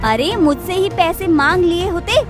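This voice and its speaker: Cartoon villain: actress as nasty young daughter-in-law, very high voice, scolding and sharp-tongued, light music underneath. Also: female voice, lively intonation, measured pace. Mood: accusing. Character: cruel, bossy, shrill young daughter-in-law. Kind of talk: exaggerated Hindi cartoon story acting.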